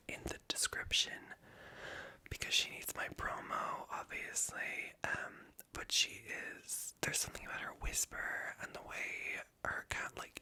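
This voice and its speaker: A woman with a light Irish accent whispers a breathy, self-conscious, stream-of-consciousness ASMR ramble. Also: sharp tone. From Ireland. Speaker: ASMR video creator.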